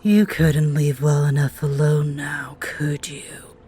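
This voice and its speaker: A woman playing a menacing, taunting doctor villain in an audio drama, speaking in a hushed, cold manner. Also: sits low, little pitch movement, slow pace, a little hiss or hum. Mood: cold menace.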